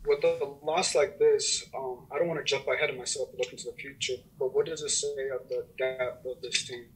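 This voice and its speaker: A man asks direct, probing questions as a sports reporter. His voice is set back from the microphone at a middle pitch. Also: steady tone, brisk, slight background noise. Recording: voice from far off the mic.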